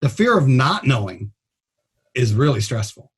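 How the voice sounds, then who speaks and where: A man's voice: medium pitch, very animated, a little slow, breathy edge. A man speaking as a guest doctor in a radio interview, explaining things in a plain-spoken, blunt and informal way. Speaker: trauma surgeon, guest doctor.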